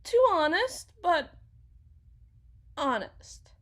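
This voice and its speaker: Woman acting a high-voiced, slow, anxious mother. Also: big pitch swings, heavily acted. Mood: fretful, self-blaming. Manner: halting, rambling, self-correcting, sighing. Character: anxious, rambling wife and mother, defensive, self-blaming, fragile. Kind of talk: ASMR dramatic monologue roleplay.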